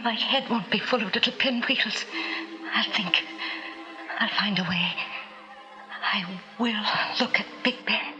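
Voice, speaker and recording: A woman's voice: mid-range voice, highs cut off, close to an everyday voice. An actress playing a terrified mother desperate to save her baby, in a fragmented, agonised radio drama monologue. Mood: anguished. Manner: fragmented, breathy pauses, agonised self-talk. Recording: vintage radio drama with loud music.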